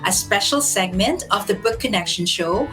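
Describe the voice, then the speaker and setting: A woman hosting a show, reading a measured, scripted welcome at the opening. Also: medium pitch, expressive, sharp tone. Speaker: host. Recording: faint guitar music underneath.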